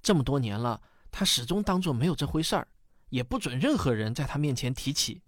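A man's voice: medium pitch, very animated, fairly quick, dry recording, clean studio sound. A man playing a patient father who explains things carefully in a radio drama. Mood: patient, explaining.

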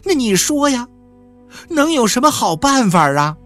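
A storyteller voicing a desperate young peasant wife who pleads through tears, in a radio novel. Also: male voice, very high voice, big pitch swings, a little slow, a bit bright, soft background music, full character voice. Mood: pleading. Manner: tearful pleading.

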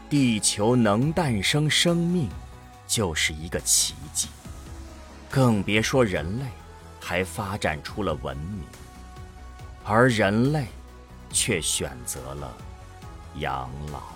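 A man narrating a science fiction audio drama in a theatrical, solemn way, with deliberate pauses. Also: low voice.

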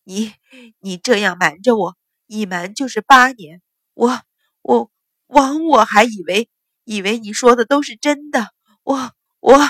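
A woman voicing a shrill, jealous noble wife who screams furious orders in a dry recording. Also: measured pace, fairly bright tone, no room sound. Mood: sobbing rage.

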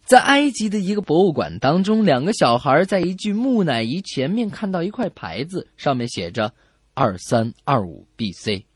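A woman's voice: sits low, moderate pace, husky. A woman co-hosting a comedy radio show, narrating jokes expressively and acting out the characters. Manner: expressive storytelling, acts out characters. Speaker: co-host. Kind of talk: comedy radio joke-telling.

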